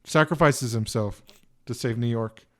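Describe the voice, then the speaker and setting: A man's voice: medium pitch, unhurried. Rambling young man in his twenties. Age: 20s. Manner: rambling, lots of "like" and "you know" fillers. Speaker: podcast co-host. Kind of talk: casual film review chat.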